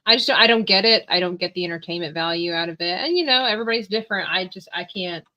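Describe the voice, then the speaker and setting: A woman's voice: fairly low pitch, quick pace, muffled recording. A young woman from Panama with a Southern American accent, hosting a casual livestream chat and laughing often. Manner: giggly, animated, exclaims and laughs often. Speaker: livestream host.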